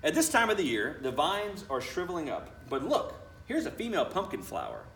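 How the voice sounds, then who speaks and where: Animated man reading aloud, echoey room. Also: fairly high pitch, a little fast. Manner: expressive, with chatty asides to children. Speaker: school principal. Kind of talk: children's picture book read aloud. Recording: roomy, distant mic, faint noise.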